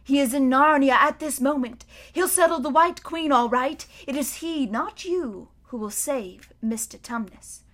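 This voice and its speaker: A hearty, gloomy male beaver, grave and folksy, as a woman reads him aloud. The voice sits high and carries well. It sounds like a storybook read at bedtime. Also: measured pace, hardly any pauses, a bit bright.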